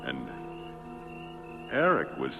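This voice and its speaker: An actor playing an anxious, haunted man in a 1940s radio suspense drama, speaking in a halting, earnest way. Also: rumbling low, huge pitch swings, exaggerated voice. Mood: haunted, relieved. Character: anxious, haunted man, narrator and intended murder victim. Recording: dull vintage 1940s radio, music behind.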